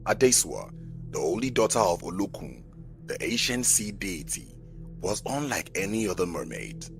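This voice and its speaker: A man with a very low, gravelly voice tells a scripted tale as a dramatic narrator. His delivery is measured and rises and falls widely, with music clearly playing behind him. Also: big pitch swings.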